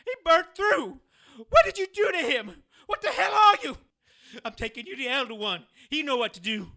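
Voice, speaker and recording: A man playing a streetwise, softer-hearted vagrant in an audio drama, talking in excitable slang. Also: extremely high pitch, moderate pace, vocal fry, no room sound, clean studio sound, exaggerated voice. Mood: panicked. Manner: slangy, exclamatory.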